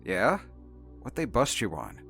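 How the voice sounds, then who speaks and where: A man's voice: on the low side, huge pitch swings, measured pace, gravelly, fairly bright tone, faint music behind, exaggerated voice. A man playing a cocky, easygoing supernatural entity who talks in a casual, flippant, slangy way in an audio drama. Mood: relaxed, probing.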